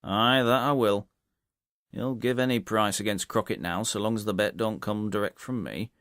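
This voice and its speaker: A man's voice: fairly low pitch, normal speed, a bit bright, no echo, clean studio sound, lightly acted. A British man voicing a wily, hearty sporting landlord from a storybook, colloquial and dropping his aitches. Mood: eager, scheming. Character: brash, wily male sporting landlord and gambler.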